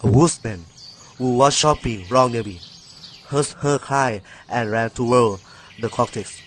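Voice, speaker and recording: A man's voice: mid-range voice, unhurried, a little hiss or hum. A man narrating a scripted children's story.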